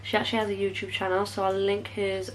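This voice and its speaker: A woman's voice: medium pitch, monotone, quick pace, slight background noise. A young woman, chatting to camera in a casual vlog, bubbly and rambling, correcting herself as she goes. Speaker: beauty vlogger.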